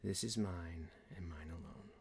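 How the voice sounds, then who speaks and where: A man performing an ASMR roleplay as a calm, genteel boss, speaking in a hushed, deliberate, soothing, often near-whispered way. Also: sits low, gravelly, breathy edge. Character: calm, genteel male boss leading a relaxation ritual. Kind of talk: ASMR roleplay, guided relaxation.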